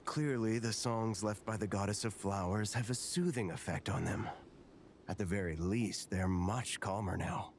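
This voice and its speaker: Man playing a low-voiced, thoughtful scholar. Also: slight background noise, natural voice. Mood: pensive. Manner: measured, pensive, articulate. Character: calm, thoughtful, scholarly man, measured and serious. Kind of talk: video game character acting.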